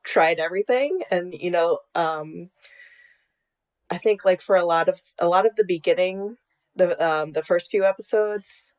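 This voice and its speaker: Female podcast creator being interviewed: conversational, on the lower side, recorded on a video call. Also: measured pace. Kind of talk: casual interview chat.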